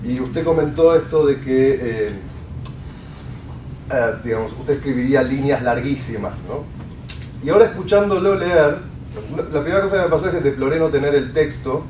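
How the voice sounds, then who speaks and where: A man's voice: medium pitch, darkish tone. A man asks a question from the audience, hesitating and reaching for his words. His voice is of middle height. He is heard from some distance in a roomy hall with faint background hiss. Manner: halting, searching for words, many "digamos" fillers. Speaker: audience member asking a question. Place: lecture room.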